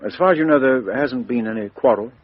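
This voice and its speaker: An actor playing a businesslike, methodical British police inspector in a radio drama, putting questions with small hesitant lead-ins. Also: male voice, mid-range voice, fast, dry recording, natural voice. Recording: dull, lo-fi radio drama recording.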